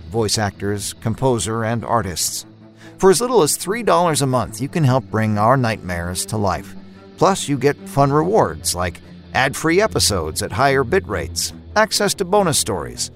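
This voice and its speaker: Guy doing a horror podcast intro, really animated and theatrical, music playing under him. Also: on the low side. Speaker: podcast host.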